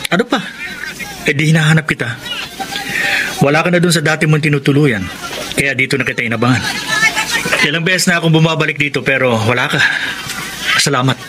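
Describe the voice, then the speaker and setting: An actor with a Filipino accent playing a menacing, insistent ex-lover in a radio drama, with loud traffic-like noise behind him. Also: male voice, fairly high pitch, fairly quick, long pauses, close to an everyday voice. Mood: smug, insistent. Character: menacing ex-lover demanding to see his child. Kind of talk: Tagalog radio drama acting. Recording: loud ambient and vehicle noise.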